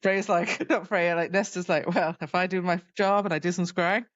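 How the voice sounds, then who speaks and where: A woman's voice: fairly low pitch, fairly even intonation, normal speed, no echo, muffled recording. A woman co-hosting a podcast, chatting casually and retelling a story by acting out the dialogue. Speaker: podcast co-host. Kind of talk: casual chat recapping a fantasy novel.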